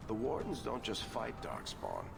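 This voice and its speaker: An actor playing a smooth-talking, wry, worldly rogue storyteller in a video game. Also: male voice, mid-range voice, wildly swooping pitch, measured pace, background noise, heavily acted. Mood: wry, confiding. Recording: game music behind the voice.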